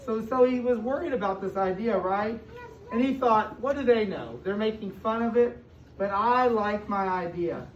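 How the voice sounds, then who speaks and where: A woman's voice: mid-range voice, expressive, moderate pace. American woman reading to children, echoey and distant. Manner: explains and paraphrases as she reads, with questions and emphatic stretched words. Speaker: school superintendent reading aloud to children. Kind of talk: interactive picture-book read-aloud to young children. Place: indoor room with children present. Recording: distant, echoey, muffled room recording with noise.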